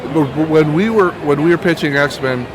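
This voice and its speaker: A man's voice: fairly high pitch, normal speed, crowd noise. A middle-aged or older man answering as an interview guest, telling casual anecdotes and often restarting his phrases. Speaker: interview guest, TV writer and producer. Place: busy comic convention. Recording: noisy outdoor-sounding convention recording with traffic-like din.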